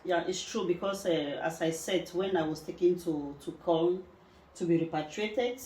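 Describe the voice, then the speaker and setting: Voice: medium pitch, moderate pace, darkish tone. A host from Cameroon asking follow-up questions and affirming the guest in an interview. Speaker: talk show host. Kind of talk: interview conversation. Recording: echoey room.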